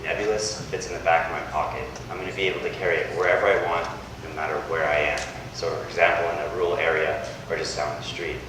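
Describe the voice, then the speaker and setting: A young man with a monotone delivery, giving a startup pitch in a university hall. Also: fast. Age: young adult. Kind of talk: pitch presentation. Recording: echoey hall, distant mic, noisy.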